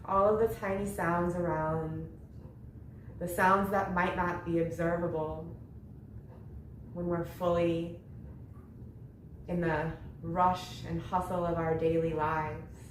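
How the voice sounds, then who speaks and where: A woman leading a guided yoga meditation, speaking gently in short, unhurried phrases with long pauses between them. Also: lowish voice, level tone. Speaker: yoga nidra meditation instructor. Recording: roomy echo with faint background noise.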